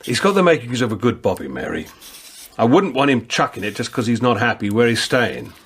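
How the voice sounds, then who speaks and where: An actor playing a kindly, shrewd older police constable in a radio drama, homely and plain-spoken. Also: male voice, medium pitch, big pitch swings, a little fast, a bit bright, breathy edge, exaggerated voice. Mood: coaxing. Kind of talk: radio drama acting with some narration.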